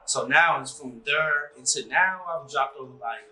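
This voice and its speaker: A man in his thirties, a musician being interviewed, talking in a relaxed, rambling way full of "like" and "you know". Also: medium pitch, moderate pace, a little hiss or hum. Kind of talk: casual interview chat. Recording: echoey room with faint music.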